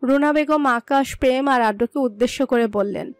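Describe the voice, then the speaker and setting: A woman's voice: high voice, moderate pace, dry recording. A woman narrator reading a scripted romantic story. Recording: dry voice over soft music.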